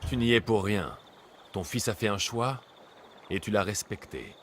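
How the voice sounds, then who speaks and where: An actor playing a determined, protective ancient Greek mercenary in a video game. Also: male voice, on the low side, lively intonation, measured pace, fairly bright tone, a little hiss or hum. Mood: steady, concerned.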